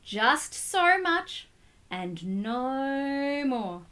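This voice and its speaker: A woman's voice: sits high, big pitch swings, extremely slow, fairly bright tone, exaggerated voice. A woman voicing a fussy but helpful man in a storybook, scolding and emphatic. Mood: firm. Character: fussy, helpful man who runs the pet shop.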